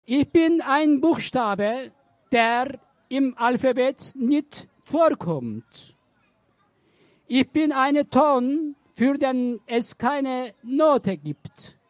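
A woman slowly reciting poems in a deliberate, halting way. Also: sits high, animated, no room sound. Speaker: poetry reader. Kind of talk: slow poetry reading.

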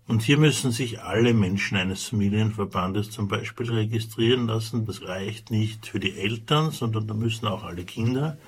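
A man with an Austrian accent, speaking for an aid organization, explaining rules in a measured way with frequent hesitations. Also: lowish voice, slightly rough. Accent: Austrian German. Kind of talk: radio interview answers.

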